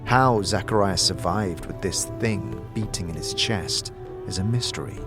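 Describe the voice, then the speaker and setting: A man's voice: low-pitched, unhurried. A man giving dramatic, scripted second-person narration for an audio drama as narrator and game master. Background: music.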